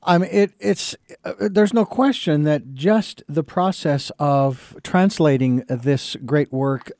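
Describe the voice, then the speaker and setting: Measured male interviewer, dry sound. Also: a little high, darkish tone, no echo. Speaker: interview host. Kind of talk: interview questions.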